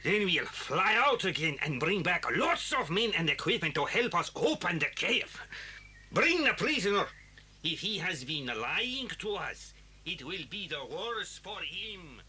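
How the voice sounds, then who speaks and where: An actor playing a bossy, threatening foreign villain with a rough voice in a children's audio drama. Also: male voice, sits high, sing-song, a little slow, sharp tone, slight background noise, full character voice. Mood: menacing. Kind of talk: children's radio drama acting.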